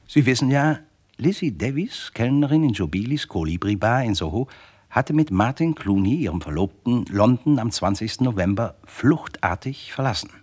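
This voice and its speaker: An actor playing a suave narrator who addresses listeners formally in an old radio crime serial, in a husky voice. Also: male voice, medium pitch, lively intonation, moderate pace, a little mellow. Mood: measured, confiding.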